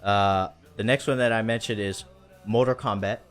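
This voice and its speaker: A man's voice: on the low side, fairly even intonation, moderate pace, soft background music. A man hosting a casual live stream, talking in an informal, slangy and playful way. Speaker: host.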